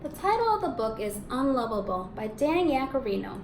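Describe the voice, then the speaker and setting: A woman teaching young children in a read-aloud lesson, encouraging and expressive, asking questions and confirming answers. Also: high-pitched, fairly quick. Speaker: elementary school teacher. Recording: echoey room with background noise.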